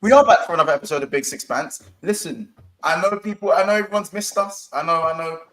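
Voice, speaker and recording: A man hosting a casual football chat show, energetic and banterous, calling out to his live chat. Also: fairly high pitch, fast talker. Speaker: host. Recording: echoey room with faint noise.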